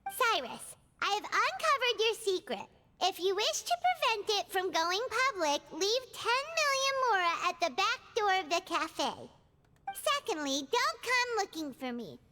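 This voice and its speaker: A woman voicing a small, eager floating fairy companion who chatters in a bubbly, excitable way. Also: very high voice, a little slow, a little hiss or hum, exaggerated voice. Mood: reading aloud. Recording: game audio with roomy reverb.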